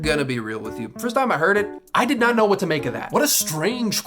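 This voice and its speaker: Male video essay host, highish and lively, casual comic delivery with sardonic emphasis, music bed behind. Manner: sarcastic, theatrical, emphatic asides. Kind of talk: scripted video essay narration, casual and comedic.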